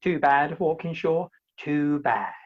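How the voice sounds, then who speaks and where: Prim, precious young groom played by a woman, low and husky, theatrical comedy delivery, dull lo-fi call audio. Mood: self-righteous. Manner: mannered, fastidious, precious. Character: prim, bashful, self-righteous young bridegroom, male. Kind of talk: theatrical comic radio play acting. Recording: dull, lo-fi video-call recording.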